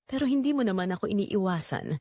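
A woman's voice: medium pitch, animated, fast, dark tone, no echo, clean studio sound. A woman voicing a confident, romantic man in his twenties, intense and flirtatious. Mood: gently insistent.

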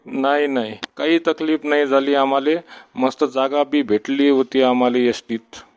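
A man's voice: medium pitch, flat delivery, moderate pace, darkish tone, clean tone, natural voice. A man voicing a fussy, complaining village father-in-law in a comedy cartoon, with a Varhadi Marathi accent. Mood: folksy. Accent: Varhadi Marathi from Vidarbha. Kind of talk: comedy cartoon dialogue in rural dialect. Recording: dull, muffled sound.

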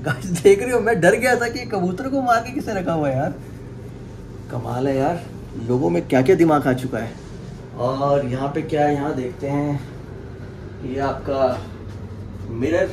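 A man's voice: a little high, normal speed, long pauses. Young male travel vlogger, casual and chatty, talks straight to camera over road noise. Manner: chatty, addresses viewers directly. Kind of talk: casual vlog narration. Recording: noisy road-trip background.

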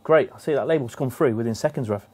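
A man presenting and interviewing shop owners in brisk, upbeat questions. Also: medium pitch, darkish tone, husky. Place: shops and community hall, indoors.

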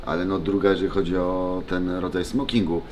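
Low-voiced man in a noisy vlog. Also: flat delivery, brisk, darkish tone. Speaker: vlog subject, MMA fighter. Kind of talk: casual vlog chat to camera. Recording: noisy on-location vlog audio.

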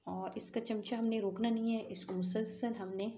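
A woman's voice: a little high, fairly even intonation, normal speed, dark tone, echoey room, a little hiss or hum. A woman hosting a home cooking channel, walking viewers through a recipe step by step in a patient, instructive voice-over. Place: home kitchen.

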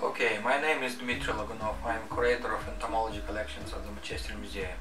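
A middle-aged man explaining his specialist subject in an interview, with halting, hesitant phrasing. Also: lowish voice, steady tone. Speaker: museum curator of entomology, spider specialist. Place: book-lined office, indoors. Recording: echoey room, distant mic, faint music.

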